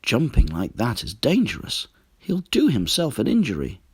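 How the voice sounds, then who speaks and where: A man voicing a gentle, caring female duck, fond and fretful, in a children's storybook reading. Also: medium pitch, huge pitch swings, normal speed, breathy edge, dry recording, pitched above the performer's own voice, exaggerated voice. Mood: worried.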